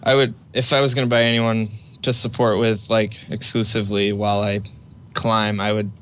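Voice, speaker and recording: A man's voice: fairly low pitch, little pitch movement, moderate pace, fairly bright tone, clean tone. A young man on a voice call, chatting laid-back about games and complaining about his options. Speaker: friend on voice call. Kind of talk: casual gaming chat.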